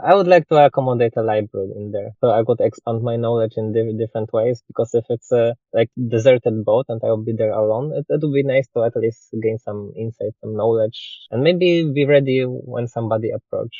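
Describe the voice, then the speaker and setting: Polish man in his 30s, interview guest, measured halting English; tinny, phone-like, very dry sound. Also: medium pitch, no echo. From Poland. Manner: measured, explanatory, slightly halting non-native phrasing. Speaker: guest sailor. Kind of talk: interview answers.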